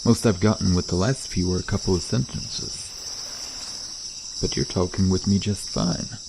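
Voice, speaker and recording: Casting: male actor as shy, friendly mer-shark for ASMR roleplay, very deep, hesitant delivery, chirping insects behind. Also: moderate pace, gravelly, background noise. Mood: shy, warm. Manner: hesitant, casual, trailing off. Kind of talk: ASMR roleplay, soft conversational acting to the listener. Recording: nature ambience with chirping insects. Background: crickets and outdoor night ambience.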